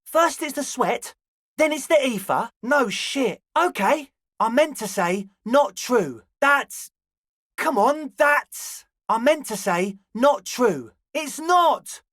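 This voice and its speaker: A man's voice: extremely high pitch, animated, a bit bright, no room sound, clean studio sound, exaggerated voice. A male actor voicing a young, slangy club hustler in a video game, conciliatory and laid-back with a pleading manner. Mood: laid-back pitching, then flustered. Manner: slangy, placating, drawn-out pleading. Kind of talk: video game character dialogue.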